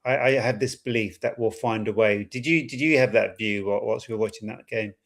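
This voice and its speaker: A man's voice: fairly low pitch, brisk, creaky. A man chatting casually as co-host of a football fan call-in. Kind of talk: casual chat.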